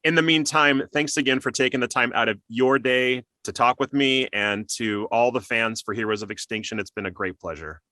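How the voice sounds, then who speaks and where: Bright-voiced man hosting a podcast interview. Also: medium pitch, normal speed, hardly any pauses, no echo. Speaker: interview show host.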